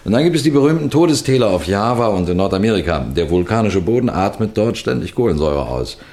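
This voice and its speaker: A man acting a shrewd middle-aged police detective in a radio mystery, with a deliberate, lecturing manner that builds suspense through pauses. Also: on the low side, animated, a little mellow. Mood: explanatory. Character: shrewd, unhurried senior police detective explaining a case. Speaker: detective narrator.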